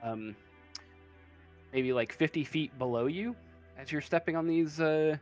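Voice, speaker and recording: A man's voice: a little high, unhurried, soft background music. A man improvising tabletop game narration as game master, hesitant and often searching for words.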